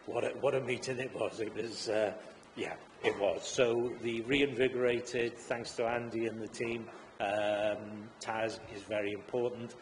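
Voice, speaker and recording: A man's voice: fairly low pitch, fairly even intonation, a little slow. A man speaking as a councillor in a council chamber, earnest and full of hesitant uhs. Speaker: councillor, executive member for education. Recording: long chamber reverb, faint hum.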